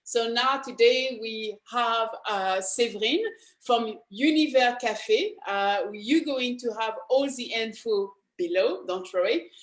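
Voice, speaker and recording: A woman hosts an interview in an upbeat, loose way, full of fillers and restarts. Her voice sits fairly high, and the room rings with echo. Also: slow. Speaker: host. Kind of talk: casual interview hosting.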